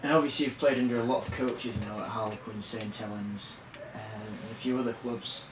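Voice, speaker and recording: A man asking prepared interview questions in a measured way. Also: fairly low pitch, fairly even intonation. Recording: echoey room, far from mic, noisy.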